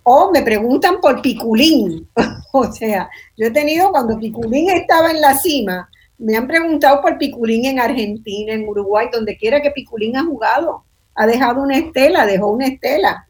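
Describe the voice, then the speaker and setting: A woman's voice: on the high side, expressive, moderate pace. An older woman with a Puerto Rican accent, hosting a panel discussion in a reflective way and often adding "¿verdad?". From Puerto Rico. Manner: thoughtful, reflective, tags sentences with "¿verdad?". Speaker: host.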